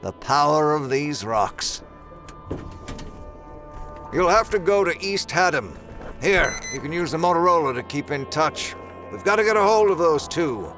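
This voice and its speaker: Man acting a coolly sinister project boss, higher-pitched. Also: big pitch swings, music in the background. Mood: cool, ominous. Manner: measured, formal, ominous. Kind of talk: audio drama acting.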